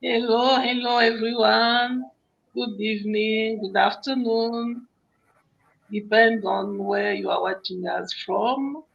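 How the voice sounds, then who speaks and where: A woman from Congo speaking English, her voice gravelly. She is earnest and a little apologetic about her English, and the sound is dull and lo-fi. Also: on the high side, steady tone, slow pace. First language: French. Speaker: guest.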